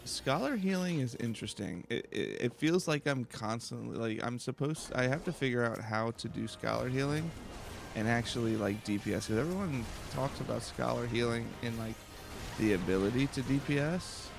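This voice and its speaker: A man giving casual, low-key video game commentary, musing aloud to himself as he plays. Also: moderate pace, vocal fry. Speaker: let's play streamer.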